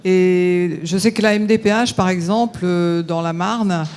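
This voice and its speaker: A local elected official, a man, adding a halting, informal remark from the floor at a conference. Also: sits high, steady tone, a little mellow, clear, resonant tone. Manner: halting, informal, many restarts.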